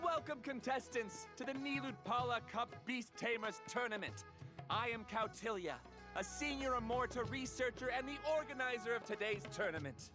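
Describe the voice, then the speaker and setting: A man's voice: extremely high pitch, moderate pace, music in the background. An actor playing a confident male scholar in a video game, addressing a crowd in a formal, grandiose, reassuring way. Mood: proud, welcoming. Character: showy confident male scholar and tournament organiser. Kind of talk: video game character giving announcement speech.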